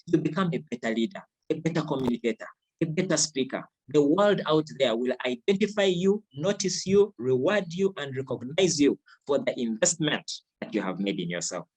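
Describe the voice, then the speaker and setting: A man's voice: fairly high pitch, expressive, moderate pace, hardly any pauses, breathy edge, poor audio. A man with a Ugandan accent giving a motivational speech over a video call, repeating his phrases for emphasis. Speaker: club speaker.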